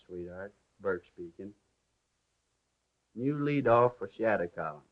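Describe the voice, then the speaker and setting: A breezy, slangy newspaper reporter from a 1930s picture. He wisecracks at an unhurried pace. The soundtrack is dull and lo-fi. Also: fairly low pitch. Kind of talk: 1930s film acting.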